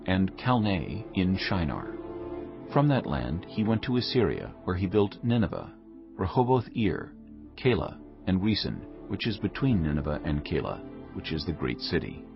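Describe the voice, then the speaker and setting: A deep-voiced man narrates scripture solemnly and deliberately. His gravelly tone moves expressively over prominent music, on a slightly dull recording. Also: very animated. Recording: dull audio with loud music behind.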